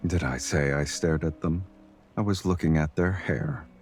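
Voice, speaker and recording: An actor playing a confident, teasing and tender male love interest in a romance game, with a husky voice. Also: a bass voice, normal speed, a little mellow, faint music behind. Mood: gently amused. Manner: smooth-talking, playfully teasing.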